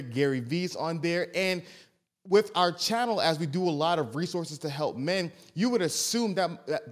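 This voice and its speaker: Young guy hosting a podcast, pretty high voice, super hyped, stumbles over his words. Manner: energetic, gushing, with stammered restarts. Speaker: podcast host. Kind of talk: enthusiastic podcast intro.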